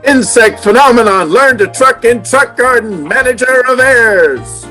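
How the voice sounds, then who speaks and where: Man acting a high, clipped wire reader. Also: lively intonation, measured pace, bright, forceful tone, faint music behind, exaggerated voice. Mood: brisk, formal. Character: brisk male reader of headlines and telegrams. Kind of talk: old-time radio acting, clipped announcing.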